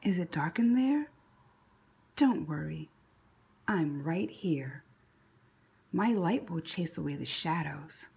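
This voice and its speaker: A woman reading a children's story aloud expressively, with a breathy, husky voice. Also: deep voice, animated, takes it slowly, pauses often, a little mellow. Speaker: picture book read-aloud narrator.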